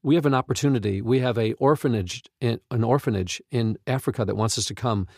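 Earnest man with a gravelly edge. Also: medium pitch, brisk, darkish tone, no echo, studio quality. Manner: earnest, emphatic, exclamatory. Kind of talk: unscripted conversational talk with testimonies.

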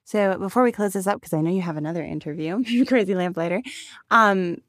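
Informal, lowish-voiced woman co-host. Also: fairly quick, no room sound, clean studio sound. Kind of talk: casual conversational announcements.

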